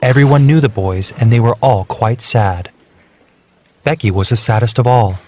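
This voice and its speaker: A man's voice: medium pitch, moderate pace, a little mellow. A man reading scripted narration for a children's storybook, with music behind him. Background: background music.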